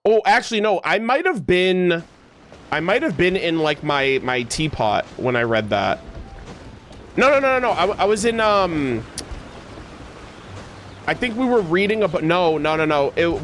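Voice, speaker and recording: Male game streamer, slightly high voice, loose slangy chatter full of self-corrections, music underneath. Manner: rambling, self-correcting, slangy, animated asides. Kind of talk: casual livestream chat with viewers.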